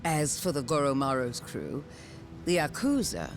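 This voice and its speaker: A woman's voice: rumbling low, big pitch swings, a little slow, full character voice. A woman voicing a villainous pirate queen in a video game, formal, theatrical and silkily menacing. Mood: cool menace. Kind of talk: scripted video game cutscene acting. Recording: loud music behind the voice.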